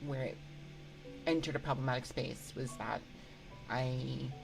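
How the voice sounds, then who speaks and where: A woman hosting a casual livestream chat, thinking aloud in a hesitant, halting way into a close microphone. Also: very deep voice, fairly even intonation, creaky, over music, poor audio. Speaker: livestream host. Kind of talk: casual livestream chat, answering viewer comments. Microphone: close binaural microphone.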